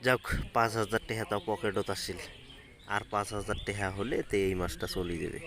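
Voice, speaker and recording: A man's voice: lowish voice, fairly even intonation, brisk. A man acting a broke, scheming village swindler talking to himself in a comedy sketch, with a northern Bengali regional dialect. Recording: loud music over the voice.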